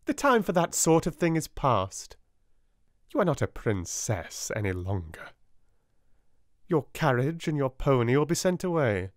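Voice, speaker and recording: A man's voice: medium pitch, sing-song, measured pace, no echo, studio quality, pitched above the performer's own voice. A man with a British accent voicing a stern, domineering headmistress in a clipped, commanding way. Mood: cold. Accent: British English.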